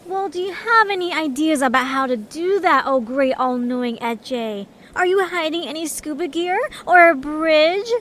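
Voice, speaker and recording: A woman's voice: high voice, normal speed, hardly any pauses, a bit bright. A woman voicing a bossy, sarcastic, short-tempered female creature in an audio story, with a snappy manner. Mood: irritated, sarcastic.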